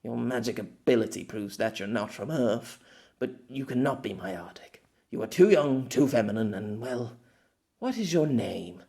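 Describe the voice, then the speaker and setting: Man acting a distraught, bitter exiled man, wildly animated. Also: medium pitch, normal speed, gravelly. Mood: calmer, doubtful. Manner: stammering, swearing, dramatic outbursts. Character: distraught middle-aged exiled man, bitter, hot-tempered, desperate.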